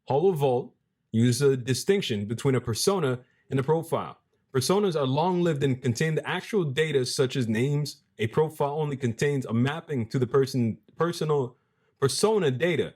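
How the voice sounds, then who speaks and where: A young man with a deep voice giving casual, animated commentary as a crypto video host, reading passages aloud and using slang. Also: normal speed. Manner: animated, hype-driven, street slang, restarts mid-phrase.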